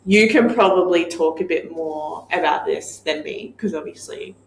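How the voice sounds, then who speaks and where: A woman's voice: on the low side, moderate pace, a little hiss or hum. A woman co-hosting a casual podcast chat, easygoing and teasing, steering the talk with questions. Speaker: podcast co-host. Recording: echoey room.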